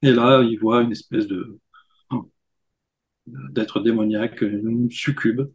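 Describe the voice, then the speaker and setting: A man hosting a paranormal news show, chatting in a relaxed way and pointing out details in pictures. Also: mid-range voice, takes it slowly, creaky, poor audio. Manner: relaxed, pointing things out on screen. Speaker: host. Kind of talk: casual discussion.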